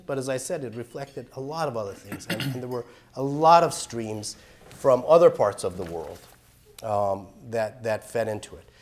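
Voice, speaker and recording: A middle-aged man from Iran, executive director of a human rights group, giving an earnest, explanatory talk at a live public forum. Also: medium pitch.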